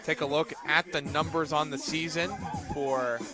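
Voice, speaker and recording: Man calling softball over background music. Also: flat delivery, moderate pace. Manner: clipped, terse pitch calls, lifting for big plays. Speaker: play-by-play sportscaster. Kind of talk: live softball radio commentary.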